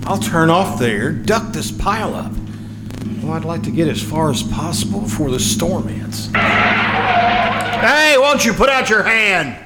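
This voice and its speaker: Man acting an impatient husband, lively and higher-pitched. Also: unhurried. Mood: restless, casual. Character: impatient, wisecracking husband driving through heavy traffic. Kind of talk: live old-time radio suspense acting. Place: live performance at a winery. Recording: live stage recording, loud background noise.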